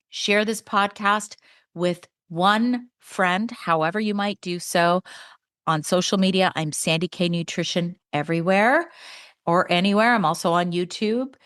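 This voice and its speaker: A woman's voice: a little slow, a bit bright. Earnest woman in her fifties. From Canada. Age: 50s. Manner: conversational and earnest, pitch jumps up for emphasis. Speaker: podcast host. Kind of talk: solo podcast monologue.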